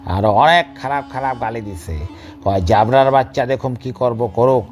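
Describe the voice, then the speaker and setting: A man's voice: mid-range voice, normal speed, faint music behind, natural voice. A man with a Bangladeshi accent voicing a sly, gossiping animal troublemaker who eggs others on in a comic cartoon. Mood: sly tattling.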